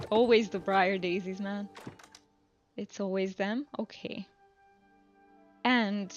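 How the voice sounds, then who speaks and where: Relaxed woman streamer chatting over music. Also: mid-range voice. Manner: relaxed, rambling, thinking aloud. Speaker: live streamer. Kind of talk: casual chat while playing a video game. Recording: music playing behind the voice.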